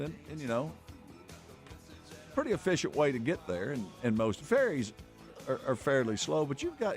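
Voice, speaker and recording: A man co-hosting a casual radio chat in a halting, folksy way. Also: medium pitch. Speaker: radio show co-host.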